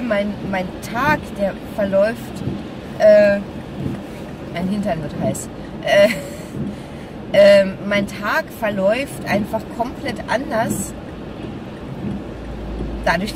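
A woman in her thirties with a slightly hoarse voice, chatting casually and reflectively with halting pauses while driving. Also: medium pitch. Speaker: vlogger. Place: inside a small car while driving. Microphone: camera or phone mounted on dashboard. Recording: distant mic, loud car road noise. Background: car engine and traffic noise.

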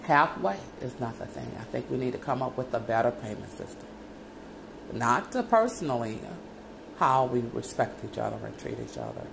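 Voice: mid-range voice, very animated, moderate pace, vocal fry, noisy background. A speaker praying aloud in a long, wandering prayer, calling out "Lord God" again and again between loose phrases. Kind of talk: rambling spoken prayer.

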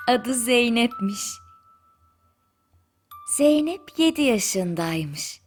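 A woman narrating a children's bedtime fairy tale in a gentle, soothing storytelling manner, with deliberate pauses. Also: fairly high pitch, very animated. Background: music.

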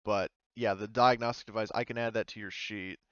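Man, game master, smooth low voice, casual improvised narration, colloquial, dry muffled sound. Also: fairly even intonation, a little fast, fairly bright tone, no echo.

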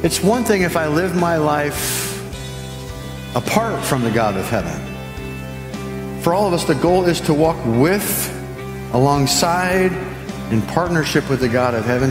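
A man in his fifties preaching a sermon from a church stage, gesturing and slowing down to stress key phrases. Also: a little high. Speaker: pastor. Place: church stage, large hall. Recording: echoey hall with music behind.

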